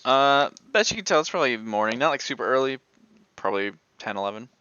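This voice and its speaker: Game master, casual tabletop narration, medium pitch, crisp and bright, dry sound. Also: lively intonation, fast, dry recording, lo-fi sound.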